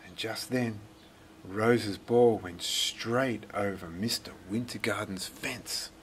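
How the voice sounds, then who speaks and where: A man reading a children's story aloud in a dramatic, deliberate way. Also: on the low side, a little hiss or hum. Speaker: storybook reader. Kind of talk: expressive scripted children's story narration.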